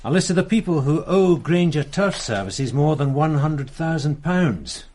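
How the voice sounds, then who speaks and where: An actor playing an earnest, easygoing police sergeant in a radio drama. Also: male voice, fairly high pitch, expressive, moderate pace, darkish tone.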